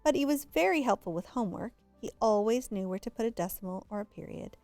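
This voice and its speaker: A woman's voice: on the high side, expressive, quick pace, darkish tone, no echo, faint music behind. A woman reading a children's story aloud expressively, drawing out words for emphasis. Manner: draws out and stresses words for emphasis. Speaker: storybook reader.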